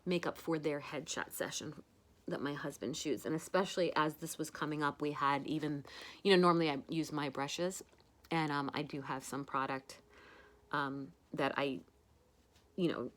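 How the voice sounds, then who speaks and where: A woman's voice: deep voice, steady tone, normal speed. A woman hosting a casual at-home makeup tutorial, chatty and rambling with many fillers.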